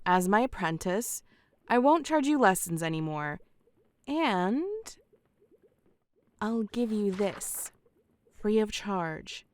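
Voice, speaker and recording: Lively, clear-voiced shrewd sorcery mentor, played by a woman. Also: mid-range voice, takes it slowly, long pauses. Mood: coaxing. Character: shrewd, playful female magic mentor and shopkeeper. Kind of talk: medieval fantasy audio drama acting.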